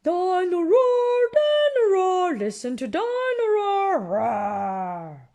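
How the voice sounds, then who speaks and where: Woman doing a high sing-song roaring toy dinosaur. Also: huge pitch swings, slow pace, vocal fry, darkish tone, full character voice. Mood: singing proudly. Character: singing, roaring toy dinosaur, loud and boastful.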